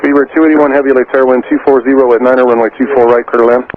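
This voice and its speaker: A man's voice: mid-range voice, fairly even intonation, racing. A man working as an air traffic tower controller, giving clipped procedural instructions over aviation radio. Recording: narrow tinny radio transmission, faint noise.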